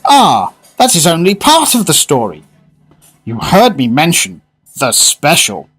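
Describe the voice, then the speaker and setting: An actor playing a fussy, fervent gourmet in a radio drama, speaking in grandiose, emphatic phrases. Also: male voice, on the high side, huge pitch swings, a little slow, a bit bright, breathy edge, faint music behind, heavily acted. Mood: rapturous. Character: fussy, fervent gourmet diner, a well-spoken man.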